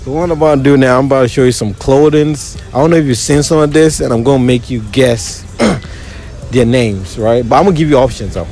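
A young man conducts a light street interview at an outdoor mall. He teases his guests and reads out answer options slowly, talking into a handheld phone. Music plays clearly in the background. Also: mid-range voice, a little mellow. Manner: teasing, playful, repeats options slowly.

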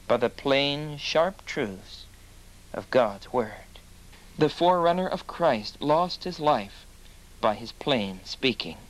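Slow, grave man narrating. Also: on the high side, poor audio. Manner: slow, grave, deliberate reading. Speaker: narrator and interviewer. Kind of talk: earnest documentary narration and questioning.

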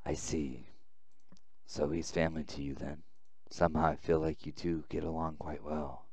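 A man reading a visual novel aloud as a weary, confused young male prisoner, subdued and halting. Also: rumbling low, level tone, darkish tone, a little hiss or hum, pitched below the performer's own voice. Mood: questioning, wary.

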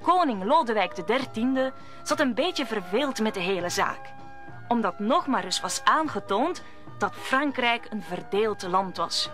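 A woman's voice: on the high side, lively intonation, unhurried, fairly bright tone, faint music behind. A woman narrating a radio play as a scripted story.

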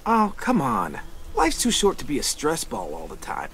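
A goofy cocky teen boy in a survival game, real animated, some noise behind. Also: mid-range voice, normal speed. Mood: upbeat, teasing. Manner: playful, theatrical, slangy. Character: cheerful, cocky, joking teenage boy survivor. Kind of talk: video game voice acting.

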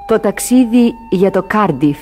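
A woman's voice: mid-range voice, animated. A woman announcing a radio drama in a scripted, formal read. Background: music.

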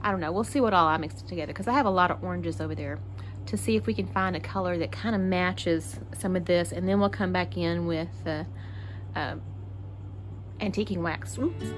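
A woman's voice: fairly low pitch, a little fast, slight background noise. A woman from Texas hosting a casual crafting tutorial, chatty and thinking aloud as she works. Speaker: craft channel host.

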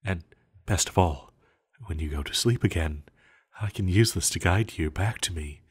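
A man playing an ancient banished villain in an intimate roleplay audio, seductive, possessive and scheming, with teasing, lingering pauses. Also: deep voice, slightly rough. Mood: urgent, possessive.

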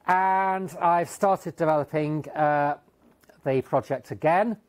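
A man's voice: a little high, slow pace. A middle-aged man giving a technical talk in a lecture hall, speaking with many ums and restarts. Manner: hesitant, many fillers and restarts. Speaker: conference speaker. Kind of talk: technical conference talk with slides.

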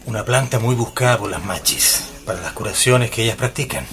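An actor playing an earnest, grave male parapsychologist in a radio drama, speaking dramatically and deliberately with a Chilean accent. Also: on the low side, animated, over music, close to an everyday voice. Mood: explaining.

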